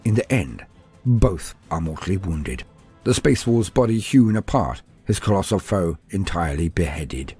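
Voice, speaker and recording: A man's voice: deep voice, lively intonation, moderate pace, a little mellow, husky, faint music behind. A man reading scripted fantasy lore as a narrator, in a theatrical, formal storytelling style.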